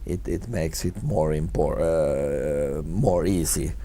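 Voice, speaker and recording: Low, dark-voiced halting man from Finland. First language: Swedish. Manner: halting, many repeated words and restarts. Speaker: guest, tourism consultant. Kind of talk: conversational interview answers.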